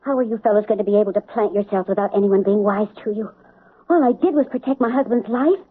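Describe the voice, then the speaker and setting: An actress playing a fiercely protective wife who speaks defiantly and emphatically. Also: female voice, medium pitch, fast talker, husky, natural voice. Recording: muffled old radio broadcast.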